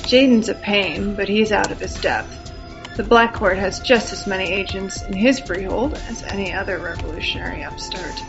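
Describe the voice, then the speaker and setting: Audio drama: callous, cocky ghoulish dealer, played by a woman, gravelly fry, dry disdain, music underneath. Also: mid-range voice, moderate pace, natural voice. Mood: breezy, dismissive. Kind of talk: scripted audio drama acting. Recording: slightly dull lo-fi recording.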